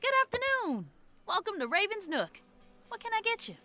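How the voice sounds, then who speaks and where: A woman playing a high, bright, friendly café server. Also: huge pitch swings, moderate pace, soft background music, heavily acted. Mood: chirpy. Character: brisk, friendly mother working a café counter. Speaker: actor in audio drama. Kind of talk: naturalistic audio drama dialogue.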